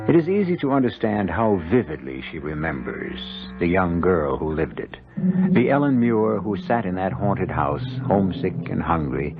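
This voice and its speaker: A man narrating a 1970s radio mystery as host, in a measured, ominous, literary manner. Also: sits low. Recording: muffled old radio broadcast, music underneath.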